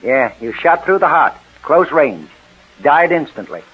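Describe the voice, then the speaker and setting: An actor playing a genial, matter-of-fact coroner in a 1940s radio drama. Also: male voice, fairly high pitch, very animated, measured pace, loud music behind the voice. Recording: tinny, narrow 1940s radio recording.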